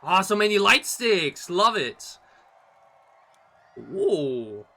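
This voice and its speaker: A man hosting a reaction video, giving casual, enthusiastic commentary in an excitable, exclamatory way. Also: high-pitched, drawn-out words, gravelly. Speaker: YouTube reaction host. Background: music.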